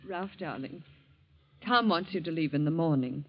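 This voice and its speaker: An actress in a 1940s radio drama playing a gentle, indulgent woman, soothing and hesitantly apologetic, on a muffled, noisy old recording. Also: low-pitched, moderate pace.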